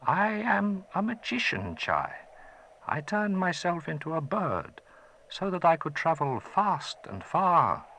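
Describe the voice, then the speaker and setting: A man putting on a high, solemn old magician. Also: sing-song, takes it slowly, bright, forceful tone, slight background noise, full character voice, rough recording.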